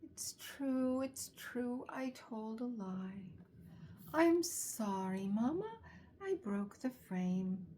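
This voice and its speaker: A woman's voice: fairly high pitch, animated, extremely slow, dark tone, slight background noise. A woman voicing an upset, remorseful little boy llama in a storybook read-aloud, pleading as he confesses. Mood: tearful confession.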